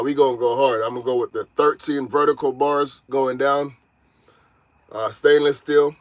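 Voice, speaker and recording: A man's voice: mid-range voice, no room sound. A man in his thirties, originally from Jamaica, chatting casually with viewers from inside his parked truck cab. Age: 30s. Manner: laid-back, talks straight to viewers, calls them y'all. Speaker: truck driver vlogger. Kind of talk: casual livestream chat.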